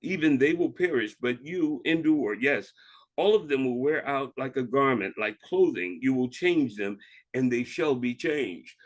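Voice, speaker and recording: A man speaking as a reverend, reading scripture and devotional reflections in a measured, deliberate way. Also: medium pitch, expressive, rough recording.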